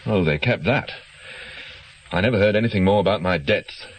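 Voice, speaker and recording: An actor playing an anxious, guilt-ridden doctor in a radio drama, speaking in hesitant, defensive, educated phrases. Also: male voice, on the low side, moderate pace, a little hiss or hum, close to an everyday voice, low-quality recording. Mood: uneasy, confessional. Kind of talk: naturalistic radio drama acting.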